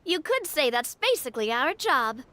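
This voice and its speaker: A woman's voice: high voice, sing-song, moderate pace, a bit bright, a little hiss or hum. A woman playing a spirited young female monster hunter in a video game. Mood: confident.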